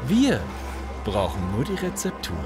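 A man's voice: a little high, huge pitch swings, unhurried, music in the background. A man in a German audio drama playing a ruthless, smug gang boss with mock-polite, theatrical menace. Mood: coldly menacing.

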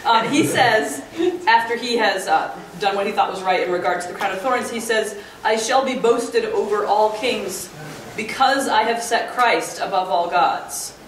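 A woman's voice: mid-range voice, normal speed. A woman in her thirties giving an explanatory, engaged conference talk as a literary scholar. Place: hotel conference room. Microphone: camera at a distance. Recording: echoey room, distant mic, faint noise.

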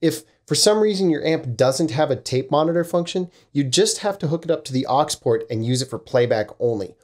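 A man's voice: mid-range voice, a little mellow. A man in his thirties giving a casual, plain-spoken scripted explainer as a review host, recorded at a desk microphone in a small room. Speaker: host of a vintage audio gear review channel.

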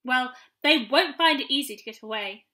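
Woman as a high-voiced little pixie fellow. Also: expressive, normal speed, bright, forceful tone. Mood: insistent. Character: merry, obliging little male pixie, watchful and clever.

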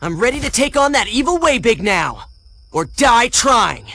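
An actor voicing a brash, excitable teenage boy hero in a video game cutscene, cocky and exclaiming. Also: medium pitch, normal speed, no room sound, exaggerated voice. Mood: determined.

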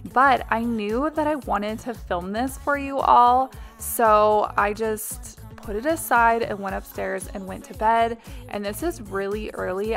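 A woman's voice: mid-range voice, gravelly. A woman in her thirties, a mother and home vlogger, chatting through a cleaning video voice-over in a confiding, casual way with little ums.

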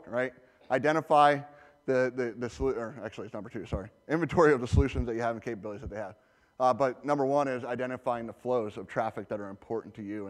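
A man giving a conference talk as a presenter, conversational with many fillers and restarts. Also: medium pitch. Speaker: conference presenter, security architect. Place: large conference hall. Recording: reverberant hall.

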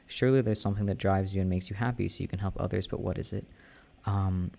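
A young man answering listener questions in a casual, soft-spoken, hesitant way, with many fillers and restarts. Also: low voice, fairly even intonation, fast, creaky. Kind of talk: casual Q&A chat.

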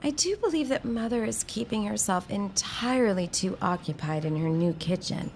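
An actress playing a poised, dramatic young debutante elder sister with airy, formal diction in a period audio drama. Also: female voice, mid-range voice, animated, normal speed, darkish tone, a little hiss or hum, close to an everyday voice. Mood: airy, affectionate. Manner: airy, affected, formal old-fashioned diction.

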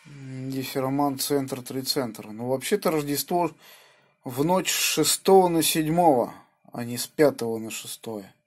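A man's voice: medium pitch, a little slow. A man chatting casually as an amateur radio operator, spelling call signs with names. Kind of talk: casual ham radio contact. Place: indoors at a home radio desk.

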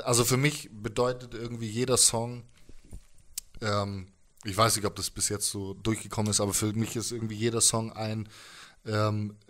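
A man's voice: fairly low pitch, a bit bright. A man in his thirties chatting casually as a musician in an interview, hesitant and slangy, speaking into a handheld microphone. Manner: hesitant, informal slangy talk. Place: living room with instruments, indoors. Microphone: handheld microphone held close.

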